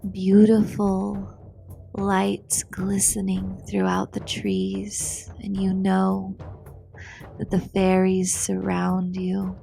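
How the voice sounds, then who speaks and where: A woman narrates a guided meditation in short, soothing phrases with long pauses. Her delivery is extremely slow and flat in pitch, with a creaky edge and breathy phrase endings. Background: meditation music.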